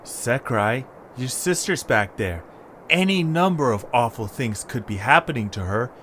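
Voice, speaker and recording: A man voicing a small, cautious, food-loving male creature, earnest and expressive in an amateur audio drama. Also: medium pitch, a little slow. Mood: worried. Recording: background noise, ambience.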